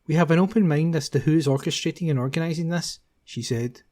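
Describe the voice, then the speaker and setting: A man reads newspaper articles aloud at a steady, careful pace, now and then stumbling and restarting a word. His voice sits a little high and sounds mellow and warm. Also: quick pace. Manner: steady, careful reading with occasional restarts on words. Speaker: volunteer newspaper reader.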